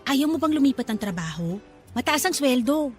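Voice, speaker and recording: A woman's voice: high-pitched, sing-song, moderate pace, soft background music. A woman playing a chatty, pragmatic young shop worker in a radio drama, colloquial, gossipy and persuasive. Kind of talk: radio drama dialogue in Tagalog.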